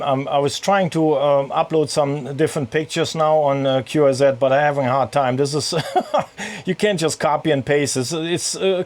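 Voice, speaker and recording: A man's voice: a little high, darkish tone. German-accented man on ham radio. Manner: halting, with many fillers. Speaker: ham radio operator on a sailboat. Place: sailboat cabin in a marina.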